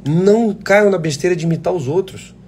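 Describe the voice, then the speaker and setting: A man's voice: sits high, a little fast, darkish tone, slight background noise. A man, an actor, talking casually and passionately to aspiring actors in a live chat, blunt and swearing freely. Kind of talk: casual live chat answering viewer questions.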